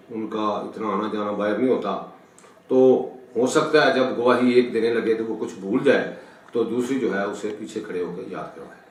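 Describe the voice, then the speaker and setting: A middle-aged man gives a formal, deliberate law lecture with halting, repetitive phrasing. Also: mid-range voice, darkish tone. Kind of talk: lecture for an online channel. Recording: very echoey room, distant microphone.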